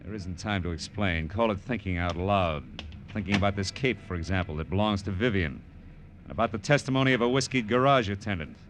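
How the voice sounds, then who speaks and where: A man's voice: fairly low pitch, a little fast, fairly bright tone, a little hiss or hum, lightly acted. An American man playing a tough, world-weary private detective in an old radio noir drama, clipped and slangy, narrating and talking bluntly. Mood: terse. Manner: clipped, hard-boiled, slangy. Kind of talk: 1940s-50s radio noir acting and first-person narration. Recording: vintage radio recording with music behind.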